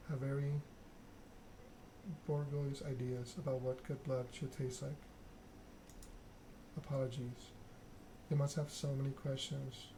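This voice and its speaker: Refined female vampire mentor, read by a man. Game dialogue, courteous, instructive, very even delivery. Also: medium pitch, background noise, close to an everyday voice. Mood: gracious, sly.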